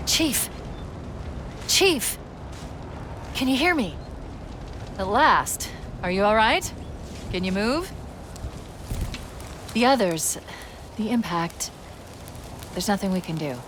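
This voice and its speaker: A woman's voice: medium pitch, expressive, takes it slowly, pauses often, a bit bright, slight background noise. A woman playing a capable military AI companion in a video game cutscene, speaking precisely and analytically. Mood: urgent, then concerned.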